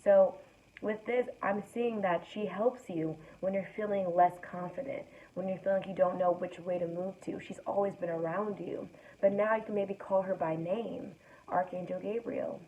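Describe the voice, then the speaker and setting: A young woman hosts a calm tarot reading, her voice raspy from an early start. She sounds thin and tinny, like a phone line, and slightly far from the mic. A faint hiss sits under her gentle, guiding delivery. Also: medium pitch, normal speed. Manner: relaxed, soothing, guides breathing. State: raspy morning voice. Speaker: tarot card reader. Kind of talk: casual spiritual reading to camera.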